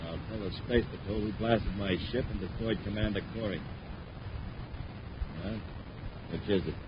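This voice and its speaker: Actor as a low-voiced, crooked space boss. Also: male voice, normal speed, natural voice. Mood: gloating, bossy. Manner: domineering, sly. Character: crooked, gloating male space freight boss, bossy schemer. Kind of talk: 1950s radio adventure serial acting. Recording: muffled, hissy 1950s radio broadcast.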